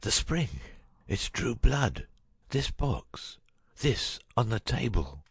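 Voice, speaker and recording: An actor playing a cunning detective with a husky voice in a dramatic audiobook reading. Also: male voice, medium pitch, very animated, slow pace, dry recording, clean studio sound, heavily acted. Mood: weak, dazed. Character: cunning male detective, first feigning a dying illness, later brisk.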